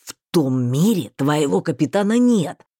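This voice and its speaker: A woman voicing a bluff, talkative male mage with a rough, folksy, rambling way of speaking. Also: sits low, big pitch swings, unhurried, mellow, no room sound, clean studio sound, pitched below the performer's own voice, heavily acted.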